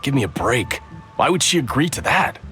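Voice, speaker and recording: A man's voice: medium pitch, sing-song, moderate pace, bright, forceful tone. A man playing a hot-headed, distrustful male soldier who talks indignantly. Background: game music.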